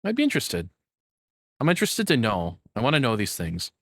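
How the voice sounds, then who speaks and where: A man's voice: mid-range voice, huge pitch swings, brisk, a bit bright, slightly rough, no echo. A man giving casual, off-the-cuff reaction commentary as a streamer, rambling and blunt.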